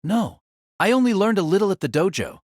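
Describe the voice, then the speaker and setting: A woman playing a reserved, modest young male student with a husky voice, hesitant and self-effacing, in an audio drama. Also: mid-range voice, expressive, brisk, darkish tone, no room sound, studio quality, lightly acted. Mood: modest, guarded.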